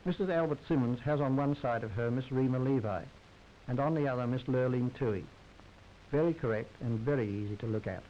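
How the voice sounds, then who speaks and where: Male, droll formal 1930s newsreel narrator, mid-pitched, on a muffled vintage soundtrack. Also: fairly quick, a little hiss or hum. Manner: wry, droll, formal society commentary.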